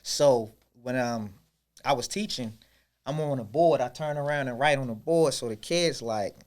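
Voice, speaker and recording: Man, podcast co-host, animated storyteller, slangy, restarts a lot, mid pitch. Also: normal speed. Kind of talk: casual chat.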